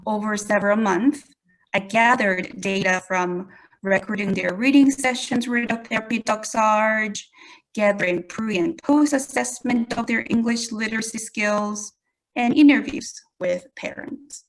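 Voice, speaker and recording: A woman with a Korean accent gives a prepared online presentation. Her voice sits at a middle pitch for a woman. She speaks deliberately, like an educator, and addresses her listeners directly. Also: rough recording. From South Korea. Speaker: presenter, English language educator. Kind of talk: webinar presentation, prepared talk.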